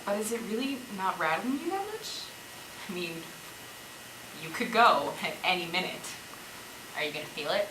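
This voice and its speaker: A woman's voice: fairly low pitch, animated, normal speed, pauses often, lightly acted. A woman acting in a stage play as a worried, teasing companion keeping watch among zombies. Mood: probing, uneasy. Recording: echoey stage, clear background noise.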